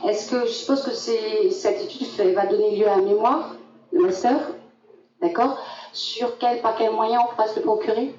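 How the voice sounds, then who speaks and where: A woman's voice: on the high side, fairly even intonation, moderate pace, dark tone, muffled recording. A woman in the audience asking a polite, curious question. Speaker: audience member. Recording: distant roomy sound with crowd.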